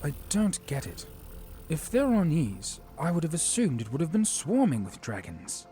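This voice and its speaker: A man's voice: on the high side, wildly swooping pitch, moderate pace, mellow, soft background music. A man playing an earnest, courteous and wary young knight in a fantasy audio drama, given to questioning. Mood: arguing, puzzled.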